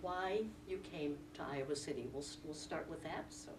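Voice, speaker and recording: A woman's voice: low voice, moderate pace, far from the mic, noisy background. A woman hosting a group, introducing people and asking them friendly questions. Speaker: group organizer and host.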